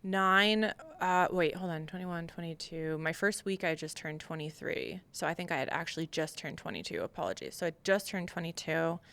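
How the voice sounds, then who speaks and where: Low-voiced American woman telling her story. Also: expressive, moderate pace, hardly any pauses, fairly bright tone. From Long Island, New York, USA. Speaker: podcast guest, military veteran. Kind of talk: candid personal storytelling in an interview.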